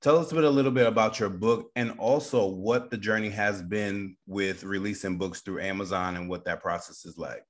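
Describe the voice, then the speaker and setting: A man's voice: lowish voice, fairly even intonation, brisk, hardly any pauses. A man with an American accent hosting a radio interview in a casual, upbeat style.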